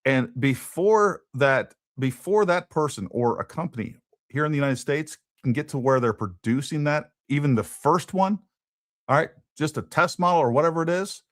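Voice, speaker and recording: A man's voice: on the high side, moderate pace, no room sound, studio quality. A man hosting a casual political talk show, thinking aloud and repeating words as he goes. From Texas. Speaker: podcast host.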